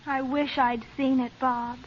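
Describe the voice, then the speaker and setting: A woman's voice: high voice, flat delivery, unhurried. An actress playing a pert, girlish young daughter in an old-time radio drama. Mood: skeptical. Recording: muffled old radio recording, faint noise.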